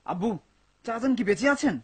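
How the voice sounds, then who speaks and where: A man's voice: high-pitched, expressive, a little fast, faint music behind. A man playing an eager, impatient young son in a dubbed cartoon, earnest and dutiful. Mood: anxious.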